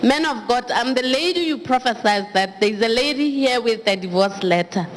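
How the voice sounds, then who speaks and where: A woman's voice: fairly high pitch, expressive, moderate pace. A woman from Botswana makes a public appeal as a congregant. Her words reverberate through a large hall, with faint noise behind. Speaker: congregant asking for prayer. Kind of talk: public testimony.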